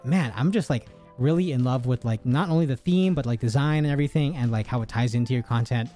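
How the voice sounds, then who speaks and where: A young male streamer with a mid-range voice interviews his guest in a relaxed, slangy way, full of encouragement. His home mic picks up faint music playing behind him. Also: mid-range voice, quick pace. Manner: laid-back, slangy, hypes up the guest. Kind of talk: casual interview chat.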